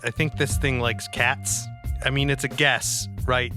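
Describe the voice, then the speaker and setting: A man's voice: medium pitch, steady tone, unhurried. A man casually describing his character's actions as a player in a tabletop game, talking informally. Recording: music bed under the voice.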